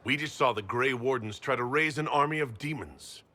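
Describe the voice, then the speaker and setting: An actor voicing a level-headed, indulgent heroic leader in a video game, speaking in a measured, reassuring manner. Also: male voice, mid-range voice, bright, forceful tone. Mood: concerned, steady. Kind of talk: video game character acting.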